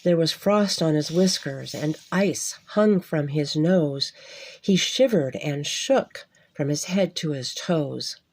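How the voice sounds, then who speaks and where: A woman reading a rhyming Western Christmas tale aloud in an expressive, measured storytelling manner. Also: sits low. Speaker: storybook reader.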